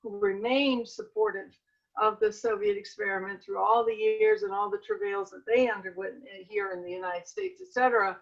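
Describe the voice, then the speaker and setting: A woman answering as a panelist in an academic discussion, measured, with halting restarts. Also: mid-range voice, expressive, gravelly, highs cut off.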